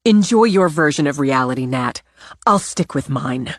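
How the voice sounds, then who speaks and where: A woman voicing a defiant young woman with a sharp, sarcastic delivery, a bit higher than her own voice. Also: expressive, normal speed, no echo, clean studio sound. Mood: cutting. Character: young woman, cutting, defiant, proud sister.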